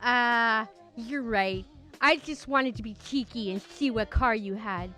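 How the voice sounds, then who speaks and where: A woman's voice: on the high side, measured pace, pushed and bright, soft background music. A woman voicing a petulant, cheeky, immature man from an online dating story, with an exaggerated lisp. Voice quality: exaggerated lisp, speech-impediment voice.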